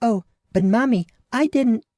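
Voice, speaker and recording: A man's voice: extremely high pitch, unhurried, darkish tone, dry recording, clean studio sound, pitched above the performer's own voice. A man voicing a confused, wide-eyed little girl in a storybook tale, hesitant and disbelieving.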